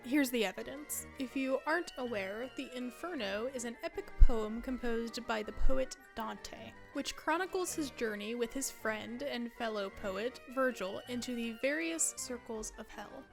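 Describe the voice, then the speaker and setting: Female video essayist, twenties, slightly high voice, chatty and wry delivery over light music. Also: measured pace, creaky, hardly any pauses. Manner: conversational, wry asides. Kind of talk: casual scripted narration.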